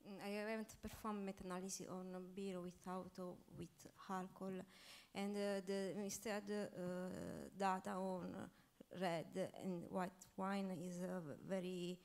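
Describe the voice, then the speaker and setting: A woman on a conference panel answering questions, speaking haltingly with many fillers and non-native phrasing, on a handheld mic in a large hall. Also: medium pitch, creaky, a little mellow. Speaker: panelist researcher. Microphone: handheld microphone.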